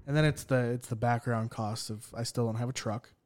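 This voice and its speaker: Dry, deadpan man co-hosting. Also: medium pitch, fairly quick. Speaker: podcast co-host. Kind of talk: casual chat.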